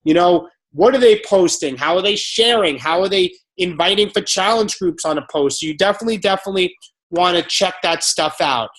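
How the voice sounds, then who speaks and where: A man's voice: high voice, expressive, fairly quick, a bit bright. Upbeat man hosting a team video call. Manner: upbeat, motivational.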